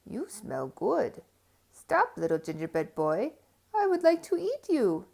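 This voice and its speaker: A woman voicing a hungry cow in a storybook, murmuring slowly and drawing out the words. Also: on the high side, wildly swooping pitch, gravelly, darkish tone, full character voice. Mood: hungry, coaxing. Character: hungry female cow, slow and sniffing.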